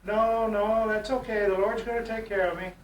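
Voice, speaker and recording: A man's voice: high voice, steady tone, moderate pace, muffled recording, voice pushed up, close to an everyday voice. Brief: stubborn righteous man on a roof, put on by an older man, insistent refusals, distant roomy sound. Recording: echoey room, off-mic, faint noise.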